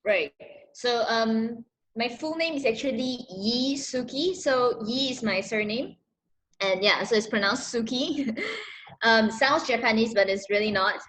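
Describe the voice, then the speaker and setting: A young woman from Malaysia, answering interview questions over a video call, relaxed and laughing often between fillers. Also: on the high side, unhurried, slight background noise. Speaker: interviewee, dancer.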